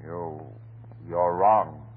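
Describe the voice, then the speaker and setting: Haunted, halting man, crackly old radio. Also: deep voice, fairly even intonation, slightly rough, full character voice. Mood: defiant, tense. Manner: halting, repetitive, melodramatic. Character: obsessed, secretive, nervous husband hiding his beloved. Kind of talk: 1930s radio horror melodrama acting. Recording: scratchy, hissy, narrow-band 1930s radio recording.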